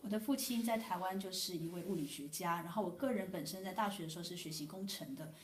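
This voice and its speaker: Quick woman interpreting in a church. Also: lowish voice, slight background noise. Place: church hall. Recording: echoey room from far off.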